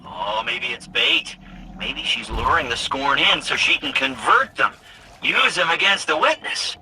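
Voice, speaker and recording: High, chatty, excitable little machine companion. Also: male voice, measured pace, vocal fry, noisy background, exaggerated voice. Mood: wry musing. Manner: hesitant, rambling, sardonic. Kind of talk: video game cutscene acting. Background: game soundtrack music.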